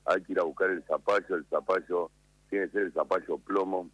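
Deep-voiced Argentine chef on radio. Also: male voice, husky, muffled recording. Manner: calm, unhurried, explanatory. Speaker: chef guest. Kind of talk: radio interview by phone.

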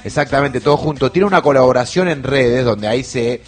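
A man's voice: mid-range voice, fairly quick, faint music behind. A man with an Argentine accent co-hosting a radio show, explaining things with emphasis. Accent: Argentine Spanish. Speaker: radio co-host. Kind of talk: casual radio chat.

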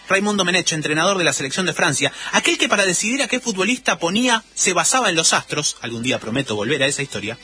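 An Argentine man hosts as a storyteller, with a high-pitched, forceful voice. His delivery is conversational yet dramatic, swinging widely in pitch and pausing for emphasis. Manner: dramatic, rhetorical questions and emphatic pauses. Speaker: storytelling radio or podcast host.

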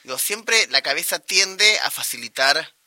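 A man with an Argentine accent interviewing a guest, relaxed, nudging with questions and filler sounds. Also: a little high, unhurried, no room sound. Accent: Argentine Spanish. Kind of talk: casual interview conversation.

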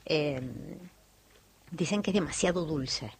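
An Argentine woman with a lower-pitched voice hosts a radio interview in a lively, chatty way. She sounds enthusiastic as she introduces her guest and asks him questions. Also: slow, breathy edge. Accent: Argentine Rioplatense. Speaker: radio host.

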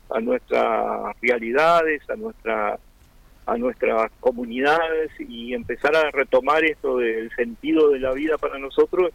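A man's voice: medium pitch, creaky, no room sound, poor audio. A man from Argentina with an Argentine Spanish accent, explaining things earnestly in a radio interview, with many fillers and restarts. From Argentina (Chubut). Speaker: interviewee, Mapuche-Tehuelche community member.

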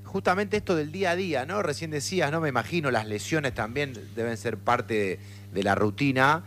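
A man with an Argentine accent, asking questions haltingly as a radio interviewer, with a conversational style. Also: mid-range voice, a bit bright. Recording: faint hum and noise.

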